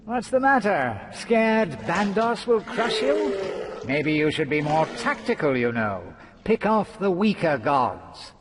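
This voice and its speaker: Fantasy game villain: gleeful taunting god, theatrical, very melodic and unhurried. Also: male voice, high-pitched, sing-song, noisy background, heavily acted. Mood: mocking. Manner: mocking, drawn-out theatrical delivery. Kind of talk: fantasy video game acting.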